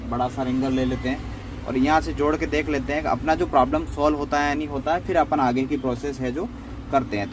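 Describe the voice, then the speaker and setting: A man's voice: mid-range voice, noisy background. A man gives an unscripted, step-by-step repair demonstration in a conversational, explanatory way, often repeating himself. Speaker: phone repair tutorial host.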